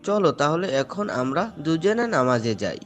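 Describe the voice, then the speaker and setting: A man's voice: a little high, big pitch swings, unhurried, soft background music. A man playing a devout father in an Islamic moral cartoon, speaking earnestly and patiently as he instructs his children. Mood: gentle. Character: devout, gently admonishing father of two.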